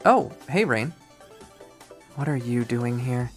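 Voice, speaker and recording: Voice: mid-range voice, expressive, takes it slowly, soft background music. A performer voicing a casual, eager, slightly awkward young visual novel protagonist with a questioning manner. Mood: friendly, curious.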